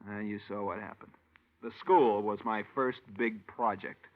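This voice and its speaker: A man's voice: lowish voice, steady tone, measured pace, natural voice. A man playing a sardonic, beaten-down architect with weary, eloquent delivery in a vintage radio drama. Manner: weary, cynical, theatrically eloquent. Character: bitter, beaten, sardonic male small-town architect. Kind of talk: 1950s radio acting. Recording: dull old radio recording.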